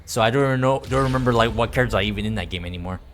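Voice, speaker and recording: A man's voice: lowish voice, very fast, a bit bright, slight background noise. A man giving casual, rambling livestream game commentary, chatting informally with some swearing. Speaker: game streamer. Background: game music.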